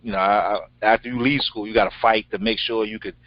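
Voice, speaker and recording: Co-host, middle-aged man, deep blunt voice, dry room, dull lo-fi audio. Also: fast, no echo. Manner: blunt, animated, swears freely. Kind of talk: casual interview chat. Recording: dull lo-fi sound.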